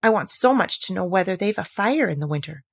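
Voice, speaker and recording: A woman voicing a chatty, imaginative little girl in a storybook, animated and mock-stern as she talks to her kitten. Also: mid-range voice, fairly quick, vocal fry, no room sound, clean studio sound. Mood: eager, chatty. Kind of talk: storybook character voice.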